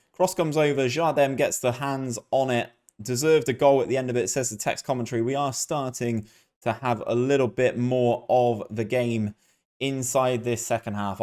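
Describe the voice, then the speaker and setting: A man's voice: medium pitch, a little mellow. A man hosting a gaming video, giving casual, chatty play-by-play and talking directly to his viewers. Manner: chatty, addresses viewers as guys.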